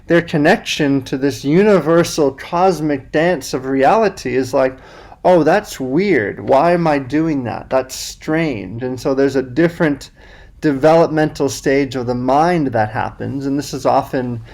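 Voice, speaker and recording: Gravelly man, thoughtful spiritual talk. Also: medium pitch, unhurried, darkish tone. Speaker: podcast guest, men's coach. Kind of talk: reflective casual conversation.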